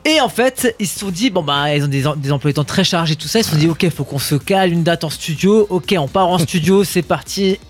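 A man's voice: fairly high pitch, animated, a little fast, hardly any pauses. A man co-hosting a morning radio show, playful and laughing a lot as he reads out facts. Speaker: radio co-host. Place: radio studio.

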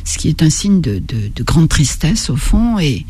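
An older woman from Algeria, a writer, talking slowly and reflectively in an interview, often stopping to search for her words. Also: low voice, big pitch swings, mellow, slightly rough, dry recording. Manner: halting, searching for words, many restarts.

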